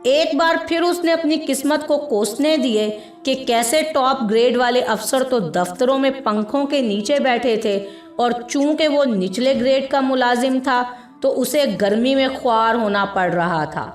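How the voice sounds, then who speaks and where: High-voiced, slow-paced woman storyteller. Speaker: story narrator. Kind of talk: scripted narration of an Urdu moral story. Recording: echoey room with background music. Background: music.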